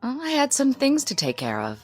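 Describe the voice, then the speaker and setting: An actress playing a breezy, casual wife in a radio drama. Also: female voice, sits high, huge pitch swings, fairly quick, exaggerated voice. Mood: cheerful, coaxing. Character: breezy, dismissive wife humoring her agitated husband. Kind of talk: modern radio drama acting. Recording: faint music behind the voice.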